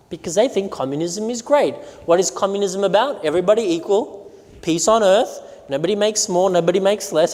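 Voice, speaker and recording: Man, pastor, sermon, storytelling, fairly high voice, long hall reverb. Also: darkish tone. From Romania. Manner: storytelling, asks the audience questions. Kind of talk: sermon presentation.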